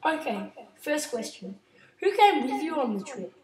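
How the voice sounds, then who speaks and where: A woman playing a brisk TV interviewer. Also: high-pitched, big pitch swings, echoey room. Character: female TV interviewer hosting a show. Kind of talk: school project role-play interview.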